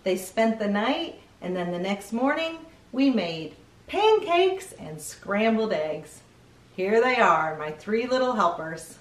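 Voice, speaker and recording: A middle-aged woman hosting a children's read-aloud, speaking deliberately to young listeners. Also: mid-range voice, expressive, roomy sound, far from the mic, a little hiss or hum.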